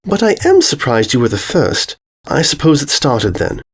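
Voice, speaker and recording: A man's voice: medium pitch, wildly swooping pitch, moderate pace, breathy edge, pitched above the performer's own voice, exaggerated voice. A man voicing a refined female pony, a motherly older sister who speaks in a proper, soothing, cooing way in a storybook reading. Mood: composed.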